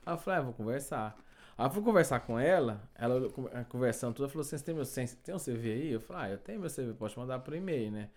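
A Brazilian man casually telling a story about job hunting as a new immigrant, animated and laughing often. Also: medium pitch, very fast. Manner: animated, laughing, many tag questions.

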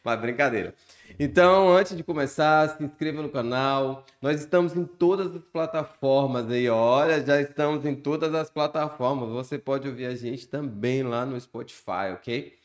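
A man's voice: medium pitch, lively intonation, unhurried. A man around forty hosts a podcast. He talks in an upbeat, joking and chummy way as he welcomes listeners and teases his guest. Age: 40s. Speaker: podcast host. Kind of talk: casual podcast hosting.

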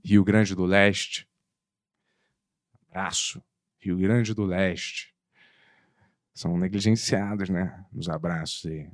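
A lower-pitched man co-hosting a live podcast, trading jokes and teasing his co-host in easy banter. His voice sits close and dry on a studio mic, with no room sound. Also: steady tone, slow, long pauses, no echo. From Portugal. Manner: joking, teasing, playful. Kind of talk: joking live podcast chat. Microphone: studio microphone.

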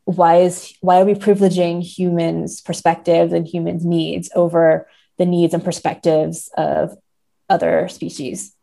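A woman's voice: on the low side, little pitch movement, a little slow, gravelly, dark tone. A woman speaking as a podcast guest, analysing a book thoughtfully and building her points step by step.

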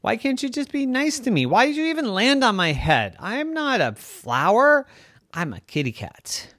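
A woman doing a squeaky little kitty cat. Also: big pitch swings, normal speed, a bit bright, dry recording, clean studio sound. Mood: pleading. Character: cat Purdy, young female kitty, touchy.

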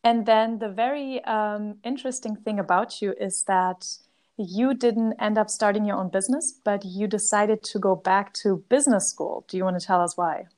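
A woman's voice: medium pitch, fairly even intonation, normal speed, a little mellow. A woman hosting a podcast, introducing and questioning her guest in a conversational style. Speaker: podcast host.

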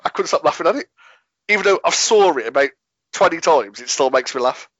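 A chatty man from Birmingham talks over a video call, a touch higher than most men. He rambles through anecdotes and laughs easily. The call audio is dull and lo-fi, with a dry, close sound. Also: animated, brisk, a bit bright, dry recording. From Birmingham, England. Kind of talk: casual chat.

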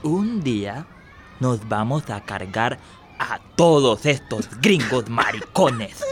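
A hot-tempered, vengeful migrant boy in a radio play. The delivery is slow with wide pitch swings, over faint music. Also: expressive. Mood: menacing. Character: Pedro, aggressive, vengeful migrant boy gang leader. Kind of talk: Spanish-language radio theatre acting.

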